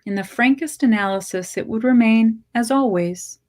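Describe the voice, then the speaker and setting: A woman reading literary fiction aloud as a story narrator, with measured, deliberate phrasing. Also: fairly high pitch, a little mellow. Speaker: story reader.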